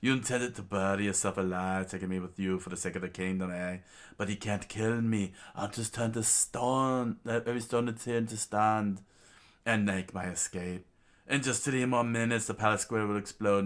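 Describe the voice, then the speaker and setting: A man's voice: lowish voice, fairly even intonation, moderate pace, lightly acted. A man voicing a gloating, menacing male villain with a sneering, boastful manner.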